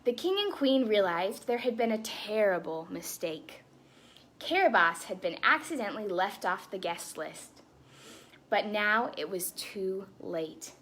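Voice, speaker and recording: A woman reading a story aloud to children as a storytime host, expressive and breaking into chatty asides. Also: medium pitch. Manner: animated, with chatty asides to young listeners.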